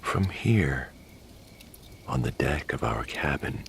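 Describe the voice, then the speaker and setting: Man, very deep bright-edged voice, breathy near-whisper, slow bedtime story narration, lingering delivery. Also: lively intonation, a little hiss or hum. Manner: hushed, lingering, descriptive.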